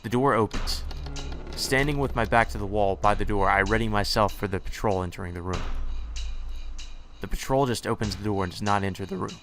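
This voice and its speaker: A man's voice: lowish voice, brisk, a bit bright, soft background music. A man reading visual novel text aloud with casual commentary, sometimes stumbling over words and correcting himself.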